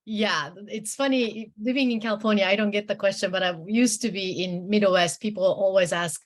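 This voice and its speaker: A woman's voice: medium pitch, steady tone, fairly quick. A woman from Japan with a Japanese accent, explaining things casually and animatedly as a panelist. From Tokyo, Japan. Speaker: pastor, panelist.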